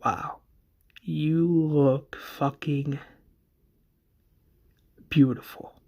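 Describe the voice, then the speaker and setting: Male storyteller as smitten man, protective, tender, earnest, soft-spoken, dramatic tale. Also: medium pitch, slightly rough. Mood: tender, worried. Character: lovestruck, protective man declaring devotion.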